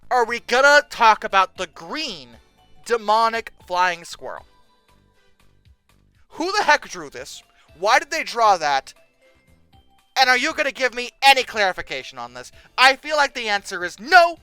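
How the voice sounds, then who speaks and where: Animated, exasperated commentator in a dry close recording. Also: mid-range voice, measured pace, clean tone, dry recording, soft background music. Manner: exasperated, sarcastic, incredulous asides. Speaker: host reacting to old book text and pictures. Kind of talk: casual comedic commentary with read-aloud passages.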